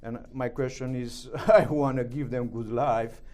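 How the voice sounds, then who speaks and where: A middle-aged man with a Romanian accent, speaking broken English as an interview guest, halting with many fillers. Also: mid-range voice, steady tone, a little mellow. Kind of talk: interview answers.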